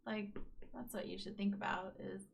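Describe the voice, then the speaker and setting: A woman's voice: mid-range voice, steady tone, moderate pace, creaky, a little hiss or hum. A woman co-hosting a casual Q&A chat beside her partner, answering frankly and laughing often. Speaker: co-host, host's partner.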